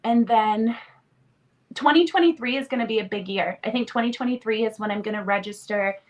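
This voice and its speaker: A woman's voice: on the high side, fairly quick, background noise. A woman in her twenties, sniffly from a cold, chatting casually as a podcast guest with lots of "like" fillers. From born in Romania, raised in Canada. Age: 20s. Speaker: interview guest.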